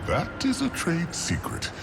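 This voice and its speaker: An actor playing a pompous, scheming demon lord in a video game, silky and mockingly courtly. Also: male voice, deep voice, gravelly, full character voice. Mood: courtly, gloating. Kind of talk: video game cutscene acting.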